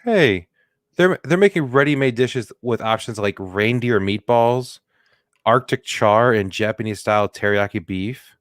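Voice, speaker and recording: A man with a low voice co-hosts a comedy podcast in a loose, joking way, riffing and putting on silly voices. The sound is dry and close, with no room in it. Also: measured pace, dry recording. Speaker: podcast co-host. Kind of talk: casual comedic chat.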